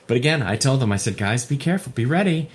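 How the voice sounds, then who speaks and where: A man chatting casually as an interview guest, a theatre director with a jokey, halting delivery full of repeats. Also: mid-range voice, lively intonation, a little hiss or hum. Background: puppy moving about at home.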